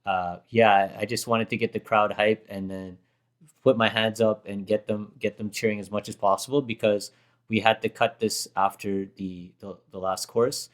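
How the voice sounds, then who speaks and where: Brief: hoarse, slightly low-voiced man, casual rambling storyteller who keeps correcting himself. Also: level tone, normal speed. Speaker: rapper reacting to his own performance. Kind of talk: casual chat.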